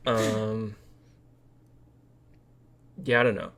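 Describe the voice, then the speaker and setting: A man's voice: fairly low pitch, little pitch movement, extremely slow, a bit bright. A man co-hosting a podcast, chatting casually and giving opinions on films in a blunt, wry way. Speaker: podcast co-host. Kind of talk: casual, opinionated film chat.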